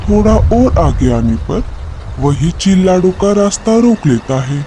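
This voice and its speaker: Male cartoon narrator: scripted storytelling, unhurried pace, noisy track. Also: sits high, very animated, warm tone.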